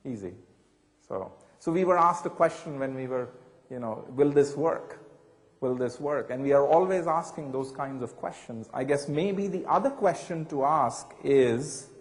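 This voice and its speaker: A man giving a reflective talk, measured and thoughtful, often posing rhetorical questions to his listeners. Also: mid-range voice, lively intonation, big-room sound. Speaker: speaker.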